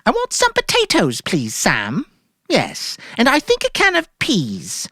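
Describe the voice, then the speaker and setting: A narrator voicing a housewife who speaks in a bright, sing-song way with put-on cheer. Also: mid-range voice, huge pitch swings, a little slow, dry recording, studio quality, pitched above the performer's own voice, heavily acted. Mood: bright, rehearsed cheer. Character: Mary Maloney, bright falsely cheerful housewife.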